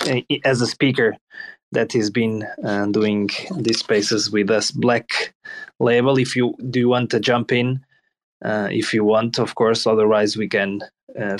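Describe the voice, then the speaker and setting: A man hosting an online audio chat, encouraging the speakers and talking in halting phrases with many restarts. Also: medium pitch. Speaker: host. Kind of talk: casual chat.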